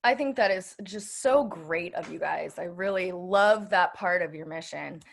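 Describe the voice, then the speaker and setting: A woman's voice: on the low side, measured pace, creaky. A woman hosting a casual interview, asking questions in an effusive, informal way. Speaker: interviewer, event host.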